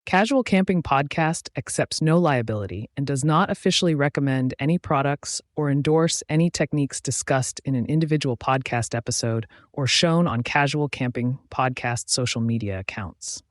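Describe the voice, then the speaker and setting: A man with a mid-pitched voice reads a scripted disclaimer. His delivery is steady and formal. The recording is close and dry. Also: moderate pace, hardly any pauses, no echo. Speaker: disclaimer announcer. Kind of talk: scripted read legal disclaimer.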